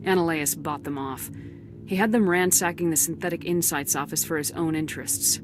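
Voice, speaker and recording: An actress as a no-nonsense female space commander in a game. Her voice is on the low side and she talks in firm, direct lines. Music is clearly audible underneath. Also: a little fast, natural voice. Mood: firm, questioning. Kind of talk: scripted video game acting.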